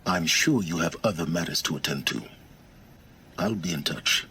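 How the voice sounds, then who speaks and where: An actor playing a learned, secretive game character, speaking in a deliberate, articulate, wry manner. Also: male voice, low-pitched, bright, forceful tone, slight background noise. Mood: wry, intrigued. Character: learned, secretive man, cool and calculating informant. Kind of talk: video game voice acting, measured dialogue.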